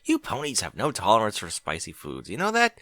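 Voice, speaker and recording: A man's voice: fairly low pitch, wildly swooping pitch, a little fast, a bit bright, no room sound. A man voicing a casual, chatty young dragon. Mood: casual, teasing. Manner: colloquial, hesitant, questioning. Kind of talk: audiobook character dialogue.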